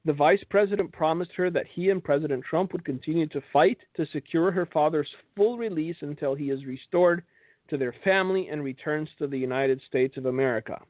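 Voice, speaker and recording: Male religious broadcast host, slightly high voice, sardonic monologue with pointed rhetorical questions, thin phone-like sound. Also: a little fast. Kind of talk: political and religious monologue commentary. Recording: thin phone-like band, echoey room.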